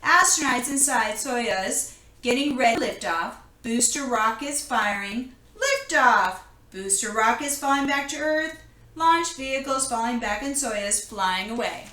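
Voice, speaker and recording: Female storybook reader, clear-cut bright tone, expressive read-aloud for young children, roomy echoing indoor recording. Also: a little high, unhurried, slight background noise.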